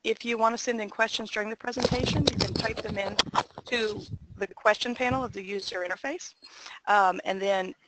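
A woman's voice: medium pitch, fairly even intonation, fairly quick, heavy background noise. A woman hosting a webinar, giving an organized introduction with practical instructions as coordinator. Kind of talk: semi-scripted webinar introduction.

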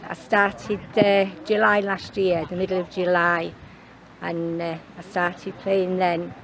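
A woman's voice: fairly low pitch, level tone, slow pace. A woman in her seventies speaking outdoors in an interview, chatty and plain. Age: 70s. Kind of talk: interview talk. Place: outdoors on a bowling green.

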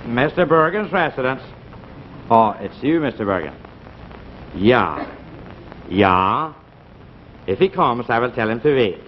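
An actor playing a genial, obliging middle-aged Swedish butler in a 1950s television comedy sketch. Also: male voice, medium pitch, very animated, a little slow, pauses often. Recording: muffled 1950s television soundtrack, faint noise.

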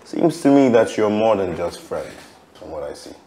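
A man's voice: lowish voice, big pitch swings, moderate pace, warm tone. An actor playing a jealous, accusing lover and aggrieved father in a screen drama, questioning in a confrontational way. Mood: suspicious, cold. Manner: confrontational, interrogating. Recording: roomy echo, faint background noise.

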